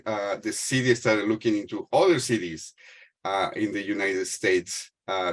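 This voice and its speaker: A man's voice: fairly low pitch, fairly bright tone. A middle-aged man from Mexico who speaks English as a second language with a Spanish accent, giving a talk on a video call with many fillers and restarts. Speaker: panel speaker.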